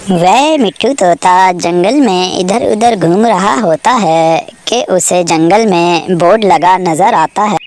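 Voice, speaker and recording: Woman storyteller, unhurried cartoon narration. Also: lowish voice, darkish tone, no echo.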